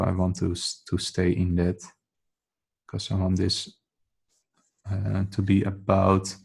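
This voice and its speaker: Dutch-accented male art tutor, non-native English, gravelly and slow-paced, talks through his sketch. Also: low voice. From Netherlands. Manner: thinking aloud while sketching, halting with fillers and restarts. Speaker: drawing tutor. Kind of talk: casual drawing tutorial commentary.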